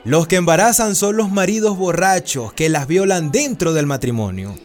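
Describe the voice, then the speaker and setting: An actor playing a composed, firm town doctor in a radio drama, explaining assertively with a wry, sardonic edge. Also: male voice, high-pitched, animated, normal speed.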